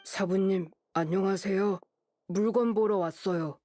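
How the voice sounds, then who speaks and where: Woman voicing a slow, husky wolf boy. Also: mid-range voice, fairly even intonation, mellow, lightly acted. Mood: earnest. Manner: short, halting, blunt sentences. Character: quiet feral wolf boy, earnest and simple. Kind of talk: anime game voice acting.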